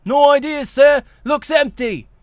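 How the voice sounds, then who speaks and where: A man's voice: very high-pitched, unhurried, no room sound, studio quality, voice pushed up. A man voicing a deferential male henchman, earnest and dutiful. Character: deferential male henchman reporting to his boss.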